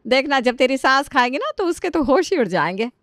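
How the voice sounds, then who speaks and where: A woman's voice: high-pitched, very animated, a little fast, a bit bright, dry recording, clean studio sound, voice pushed up. A woman voicing a doting, hospitable middle-aged mother in a story, effusive and reassuring.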